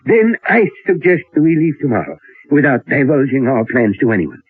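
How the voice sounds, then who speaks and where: Elderly professor, animated and husky. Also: male voice, mid-range voice. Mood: resolved. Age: older. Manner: deliberate, musing, theatrical delivery with hesitations. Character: elderly learned male professor, musing and secretive. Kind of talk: old-time radio drama acting. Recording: old radio recording, thin narrow band, roomy.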